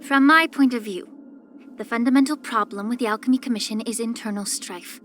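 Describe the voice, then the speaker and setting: A woman voicing a poised, soft-spoken, subtly sly young female healer in a video game, with measured, gentle, composed delivery. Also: fairly high pitch, slight background noise, lightly acted. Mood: grave, measured. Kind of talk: scripted character voice lines.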